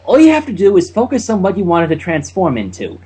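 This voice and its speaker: A woman's voice: on the low side, wildly swooping pitch, a little fast, warm tone, slightly rough, exaggerated voice. A man voicing an earnest young unicorn mare who coaxes and encourages her pupil. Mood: encouraging. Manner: coaxing, encouraging.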